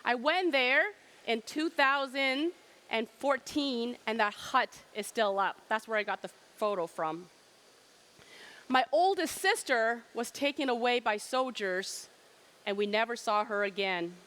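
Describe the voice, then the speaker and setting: A woman's voice: on the high side, expressive, a little slow, fairly bright tone. A middle-aged woman from Cambodia giving an emphatic keynote presentation at a trade fair and asking rhetorical questions.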